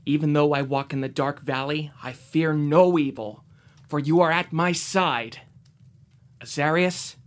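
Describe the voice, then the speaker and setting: A man's voice: fairly high pitch, fairly even intonation, a little slow, natural voice. A young man acting as a devout, brave bridegroom in an audio drama, earnest in his delivery. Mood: anxious, trusting.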